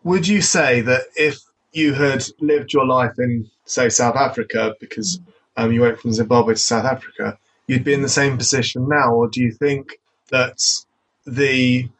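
A man's voice: medium pitch, fairly even intonation, moderate pace, a bit bright, breathy edge, low-quality recording. Curious man hosting a podcast. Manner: curious, rambling questions with many restarts. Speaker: podcast host. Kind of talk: conversational interview.

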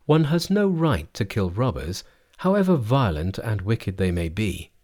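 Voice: mid-range voice, big pitch swings, unhurried, a little mellow. A storyteller voicing a pious male priest, grave and moralizing, in a storybook tale. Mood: reproachful. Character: solemn, reproachful pious priest, Monkey's master. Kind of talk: storybook character voice.

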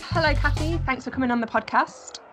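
A woman's voice: sits high, quick pace, fairly bright tone, faint music behind. A woman hosting a travel podcast, reading a scripted welcome and then interviewing her guest with short, friendly questions. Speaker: podcast host.

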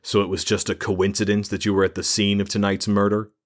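A man voicing a cold, focused male constable who speaks in a measured way. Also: on the low side, flat delivery, natural voice.

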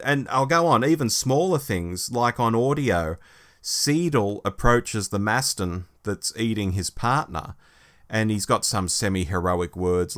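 A man's voice: lowish voice, a bit bright. A man co-hosting a podcast, talking casually as he compares and discusses stories. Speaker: podcast co-host. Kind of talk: casual book review discussion.

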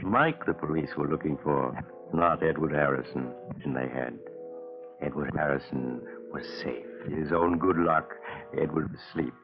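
An actor playing an ominous storyteller, narrating a 1940s radio mystery in a dramatic scripted style. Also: male voice, very deep voice. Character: ominous male storyteller of a crime tale. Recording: muffled narrow 1940s radio recording. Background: music.

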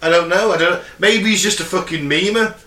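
A man with a Shropshire accent, cracking crude jokes as he co-hosts a podcast. His voice sits a little high and sounds bright. It rings in an echoey room over a faint hiss. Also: fairly quick, distant mic. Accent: Shropshire English. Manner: sweary, jokey banter. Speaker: podcast co-host. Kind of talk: casual chat.

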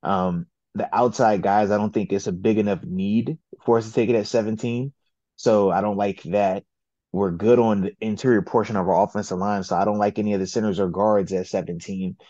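A man with a low, mellow voice talks football in an easy, slangy, unhurried way, weighing his options out loud. The sound is dry and a little dull, as if from a modest podcast setup. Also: steady tone, no echo. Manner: laid-back slangy talk, measured and deliberate. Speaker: co-host, football analyst. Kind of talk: casual sports chat.

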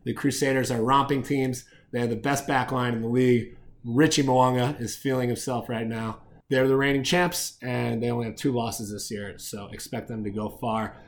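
A man's voice: medium pitch, fairly even intonation, normal speed. Opinionated man hosting a sports show. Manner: opinionated, slangy. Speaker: host of a rugby picks show. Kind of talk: sports show commentary and picks.

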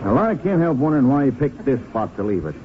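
An actor playing an earnest, folksy, talkative small-town sheriff in an old-time radio western. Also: male voice, medium pitch, big pitch swings, quick pace, phone-quality sound, faint music behind, natural voice. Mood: puzzled, earnest. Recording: band-limited 1950s radio recording.

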